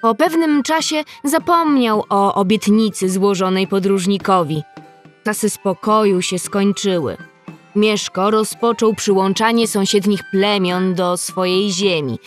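A woman's voice: medium pitch, measured pace, soft background music. A woman narrator telling a scripted historical story in a radio drama.

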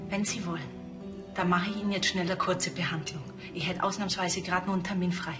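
A woman's voice: fairly low pitch, steady tone, fast, music in the background, lightly acted. A woman playing a chatty, coaxing beauty salon owner with a Bavarian accent in a TV crime comedy. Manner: chatty, persuasive.

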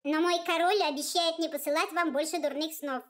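A woman voicing a tiny, self-important male envoy from a storybook, with a piercing squeaky voice and formal, rapid patter. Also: steady tone, fairly bright tone. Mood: conciliatory, bargaining. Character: tiny pompous bearded male envoy of the dream king. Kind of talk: storybook character voice.

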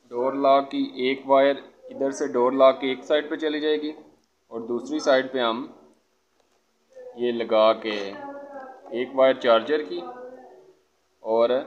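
A man giving an informal hands-on tutorial as an electrician, explaining step by step and often checking with a quick okay. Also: medium pitch. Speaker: electrician presenter. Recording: dull lo-fi phone audio, background noise.

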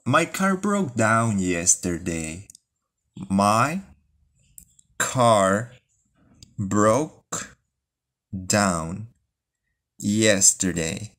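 Male teacher, lowish voice, slow drilled delivery. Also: animated, fairly bright tone. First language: Spanish. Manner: reads phrases slowly, then word by word. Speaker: English teacher. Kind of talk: bilingual language lesson, slow drilled repetition.

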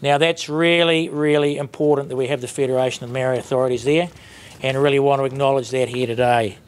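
A man's voice: mid-range voice, fairly even intonation, quick pace. A man in his fifties with a New Zealand accent gives an informal, conversational and rambling closing speech at a podium as an industry leader. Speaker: industry leader giving closing conference address. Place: conference room with seated audience, indoors. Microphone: lectern microphones.

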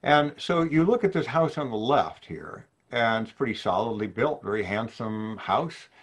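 An older man from New Orleans, a psychiatrist giving a measured webinar lecture to physicians over slides, often posing questions to his listeners, heard through a webcam in his home study. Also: medium pitch, expressive. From New Orleans, USA. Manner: measured, thoughtful, poses questions to the audience. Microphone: video call webcam.

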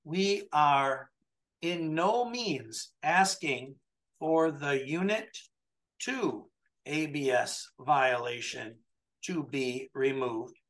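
A man in his forties talks a client through a document over a webcam call, pressing each point and tagging it with "right?". His voice sits a little high for a man and rings bright and forceful. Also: drawn-out words, rough recording. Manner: emphatic, keeps checking in with "right?". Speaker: trucking compliance coach. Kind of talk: coaching review over a video call. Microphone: webcam video call.

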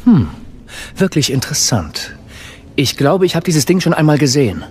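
A man's voice: on the high side, very animated, normal speed, darkish tone, soft background music. An actor playing a cautious, wry male warrior mentor in an audio drama, admonishing his pupil. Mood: calm, cautious.

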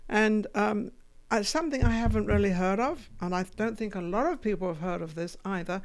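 A woman's voice: medium pitch, a little fast. An older woman hosting a studio interview, chatty and playful as she prompts her guest, speaking into a desk mic. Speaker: interviewer, host. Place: small studio with foam wall panels. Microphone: desk podcast mic.

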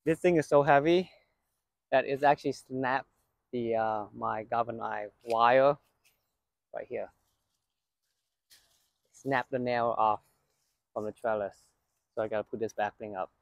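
A man's voice: medium pitch, a little slow, vocal fry, pauses often, no echo. Man touring his garden, dry close sound. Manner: relaxed, chatty, pointing things out while walking and harvesting. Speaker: gardening channel host. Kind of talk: casual garden tour walkthrough. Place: outdoor backyard vegetable garden. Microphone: handheld phone camera.

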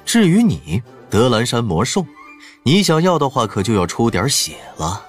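A man's voice: medium pitch, big pitch swings, normal speed, husky. A man playing a suave, sly, teasing aristocrat in an audio drama, talking playfully. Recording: dry, music behind the voice.

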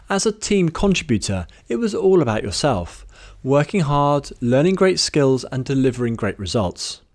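Brief: British male presenter, 30s, management-training video, animated pitch, clear and methodical, light music bed. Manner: measured, instructive, lists points in order. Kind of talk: scripted presenter talk to camera. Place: studio with plain grey backdrop. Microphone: studio mic on boom arm in front.

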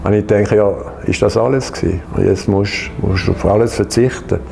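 A man in his sixties from Geneva with a French-speaking Swiss accent, French his first language, telling his own story to camera in a halting way with many hesitations and restarts. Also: lowish voice, creaky, darkish tone, slight background noise. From Geneva, Switzerland. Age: 60s. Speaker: patient sharing his experience. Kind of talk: personal account to camera. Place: bright studio room, indoors.

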